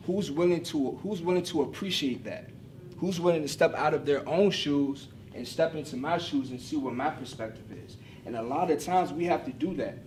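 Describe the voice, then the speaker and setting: A man's voice: fairly high pitch, distant mic, a little hiss or hum. A man in his twenties giving an earnest talk on diversity to a university group, driving points home through repetition. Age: 20s. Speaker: speaker.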